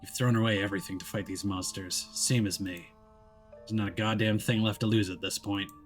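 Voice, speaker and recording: A man voicing a stubborn, hard-bitten male detective in a visual novel, with a gruff, terse delivery. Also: lowish voice, steady tone, a little fast, soft background music, natural voice. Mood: grimly resolute. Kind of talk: visual novel character reading.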